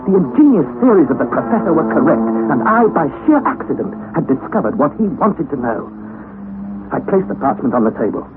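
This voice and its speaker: A young actor playing a wry, anxious nephew who narrates the story, in a 1960s radio drama on a tinny, lo-fi broadcast recording. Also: male voice, on the high side, brisk, loud music behind the voice. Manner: earnest storytelling, rising to excited outbursts.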